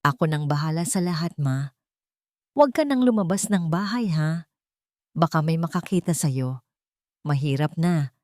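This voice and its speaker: A woman's voice: deep voice, animated, moderate pace, long pauses, darkish tone, dry recording, studio quality. A woman voicing a scheming, sweet-talking daughter-in-law in a dramatic story, coaxing and bossy. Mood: excited, bossy.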